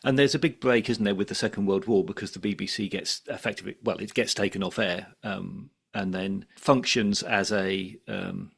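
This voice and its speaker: A man's voice: lowish voice, fairly even intonation, moderate pace, vocal fry, hardly any pauses, husky. A man hosting a podcast, asking questions in a conversational interview style.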